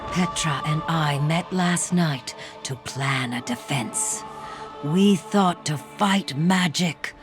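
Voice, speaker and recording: An actress playing a solemn mystic sorceress in a video game, telling her tale in a deliberate, dramatic way. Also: low voice, over music. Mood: grave. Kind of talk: video game cutscene acting.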